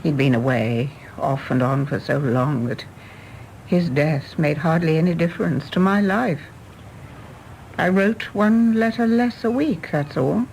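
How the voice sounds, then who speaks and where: A woman's voice: sits low, unhurried, darkish tone, slight background noise, low-quality recording. A woman playing a reflective middle-aged widow in a radio drama, candid and restrained. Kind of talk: stage-play radio drama acting.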